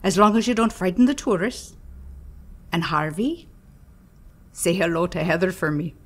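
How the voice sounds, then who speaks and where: A woman playing a kindly, composed woman of the otherworld in a radio play, speaking in a measured, polite way. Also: fairly low pitch, expressive, breathy edge. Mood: fond. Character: kindly, composed otherworldly Irish woman living among mortals.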